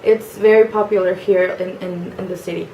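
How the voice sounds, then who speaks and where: Soft-spoken young Mexican woman. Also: mid-range voice, fairly even intonation, brisk, echoey room, a little hiss or hum, rough recording. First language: Spanish. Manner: soft-spoken, hesitant with frequent fillers. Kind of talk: casual self-introduction video. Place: family home.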